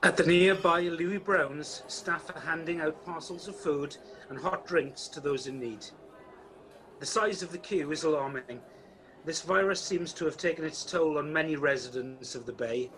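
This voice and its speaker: A man's voice: fairly high pitch, moderate pace, fairly bright tone, natural voice. A man acting as the storytelling narrator of an amateur Christmas radio play, reading a script. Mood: descriptive. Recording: noisy home video-call recording.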